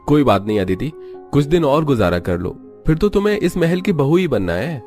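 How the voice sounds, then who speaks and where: A man's voice: a little high, very animated, moderate pace, darkish tone, soft background music. A man playing a devoted young son in a cartoon story, speaking earnestly and reassuringly.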